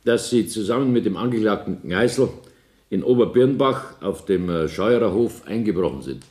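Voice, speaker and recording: An actor playing a stern, authoritative presiding judge in a radio play, questioning formally. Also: male voice, lowish voice, expressive, normal speed, a little mellow, close to an everyday voice. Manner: formal, interrogating. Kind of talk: German radio play courtroom acting. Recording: echoey 1970s radio drama studio.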